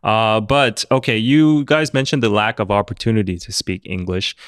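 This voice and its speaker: A man's voice: lowish voice, animated, normal speed, clean tone, dry recording, studio quality. A man hosting a podcast in casual chat, chatty and laughing often, often trailing off mid-thought. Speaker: podcast host.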